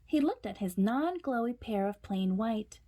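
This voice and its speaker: A woman storyteller reading a children's picture book aloud in a dramatic, suspenseful way, sometimes hushing her words. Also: a little high, animated, a little slow.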